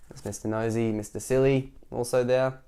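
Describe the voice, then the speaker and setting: A man's voice: medium pitch, fairly even intonation, moderate pace, warm tone, smooth. Storytime host, man with a cold, sniffly, chatty asides between pages. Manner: chatty, laughs and goes off on tangents. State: head cold, sore throat, sniffly. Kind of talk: reading a children's picture book aloud.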